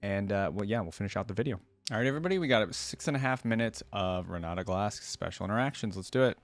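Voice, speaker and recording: Male reaction host: lowish voice, dry sound, casual musing and meandering delivery. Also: lively intonation, fast talker, no echo. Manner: musing, rambling, hedging. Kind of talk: casual off-the-cuff commentary.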